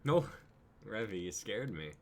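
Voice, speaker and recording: A man's voice: lowish voice, sing-song, a little slow. A young adult man acting out dialogue in a dramatic script reading. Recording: noticeable background noise, online stream.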